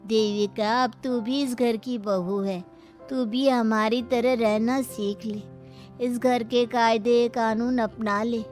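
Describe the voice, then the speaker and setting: A woman performs a cautious middle-aged mother-in-law in a storybook family drama. She sounds anxious and admonishing, in a rather high voice over soft music. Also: fairly even intonation, takes it slowly. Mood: resigned advice.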